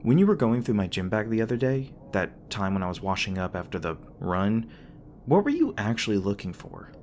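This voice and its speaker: A man's voice: fairly low pitch, very animated, a little fast, a little mellow, a little hiss or hum. A man playing a flustered, tender demon boyfriend in an intimate ASMR roleplay, speaking hesitantly with stammers, bashful and a little defensive. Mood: teasing, relieved. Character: flustered, tender young male demon boyfriend, secretly human-born.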